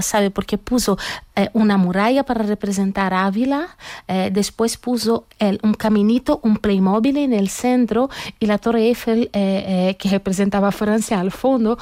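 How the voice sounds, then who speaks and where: A woman's voice: mid-range voice, warm tone, no room sound, studio quality. A woman from Brazil with a Brazilian accent, a guest in a radio interview, talking reflectively with many fillers and tag questions. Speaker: guest artist.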